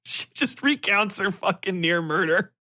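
Higher-voiced man, profane casual podcast rant. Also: expressive, measured pace, sharp tone, dry recording, studio quality. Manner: profane, slangy; irreverent, blunt ranting. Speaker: podcast host reviewing books. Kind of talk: casual rambling review monologue.

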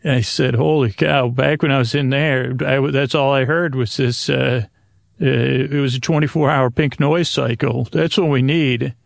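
Male sleep-podcast host, gravelly and halting, wandering through whimsical tangents in a dry, close recording. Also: medium pitch, fairly even intonation, dry recording. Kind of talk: rambling, improvised bedtime podcast monologue.

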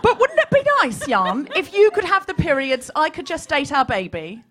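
A woman comedian with a high-pitched, swooping voice performs to a live audience. She is animated and mock-serious, playing up every point as a podcast host. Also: normal speed, crowd noise. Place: small live comedy venue. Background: audience laughter.

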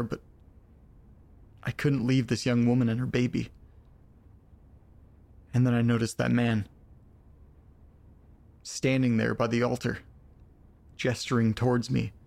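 Man acting as an uneasy, gravelly-edged letter reader. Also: medium pitch, flat delivery, measured pace, long pauses, a little mellow. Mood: tense, haunted. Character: troubled man reading strange letters aloud, profane and uneasy. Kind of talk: audio drama narration.

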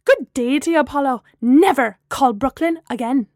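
A woman's voice: fairly high pitch, lively intonation, slow, a little mellow, no echo, studio quality. A woman voicing a dramatic, fiery young best friend in an audiobook, with an emphatic, theatrical manner. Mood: furious, indignant. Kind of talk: acted audiobook dialogue.

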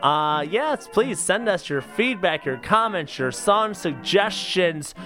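A man's voice: sits high, expressive, takes it slowly, pushed and bright, soft background music. A man co-hosting a comedy podcast in casual banter, eager and self-deprecating. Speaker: podcast co-host.

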